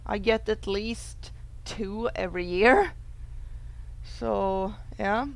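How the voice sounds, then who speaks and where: A woman hosting a gaming video, chatting casually and reading aloud in a halting, unhurried way. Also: mid-range voice, gravelly, poor audio. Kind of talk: casual chat and reading a visual novel aloud.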